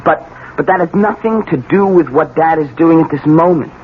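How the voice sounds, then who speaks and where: An actor playing a troubled young adult son, earnest and pleading, in a 1970s radio drama. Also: male voice, a little high, moderate pace, lightly acted. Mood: worried, pleading. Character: troubled, protesting grown son. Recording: tinny, noisy old radio broadcast.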